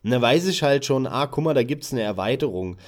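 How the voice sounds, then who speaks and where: A German-speaking man co-hosting a podcast, chatting about gaming websites in a relaxed, casual way with a few filler sounds. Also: mid-range voice, a little fast. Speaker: podcast co-host.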